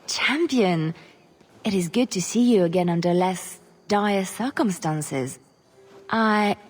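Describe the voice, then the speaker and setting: A woman's voice: mid-range voice, takes it slowly, darkish tone, breathy edge. A woman voicing an elegant, sly lady agent in a video game cutscene. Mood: sly politeness.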